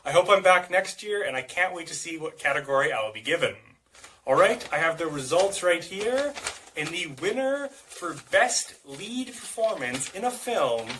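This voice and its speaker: Male presenter, higher voice, upbeat announcing, clicking background noise. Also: lively intonation, moderate pace, sharp tone. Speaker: guest award presenter. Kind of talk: announcing a winner.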